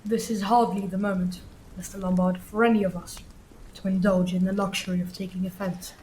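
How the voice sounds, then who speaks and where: A stern, authoritative older gentleman in a radio play, voiced by a woman. The pitch is middling and the wording formal and pompous. Faint noise sits behind the voice. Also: flat delivery, a little fast, natural voice, low-quality recording. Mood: stern, cautious.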